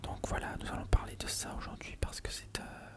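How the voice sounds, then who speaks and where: Hushed ASMR whisperer. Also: brisk. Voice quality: breathy, unvoiced whisper. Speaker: ASMR video host. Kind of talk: whispered ASMR, reading an encyclopedia article.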